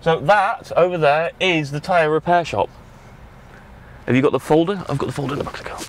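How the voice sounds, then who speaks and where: A man's voice: fairly high pitch, fairly quick. A young British man talking casually as a vlogger in a car. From United Kingdom. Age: young adult. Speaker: vlogger driving on a road trip. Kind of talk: casual vlog chat. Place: inside a moving or parked car. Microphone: dashboard action camera. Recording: car and road noise.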